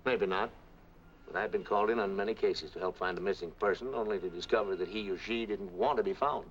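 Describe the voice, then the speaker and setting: An actor playing a blunt, skeptical private detective with a dry, no-nonsense delivery in 1940s film style. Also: male voice, lowish voice, fast talker, lightly acted. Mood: friendly, wry. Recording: muffled, noisy 1940s film soundtrack.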